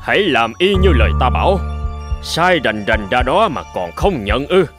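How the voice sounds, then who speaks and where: A man voicing a stern old swordmaster and father in a fairy-tale cartoon, speaking in a measured, formal, lecturing way. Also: mid-range voice, very animated, over music, natural voice.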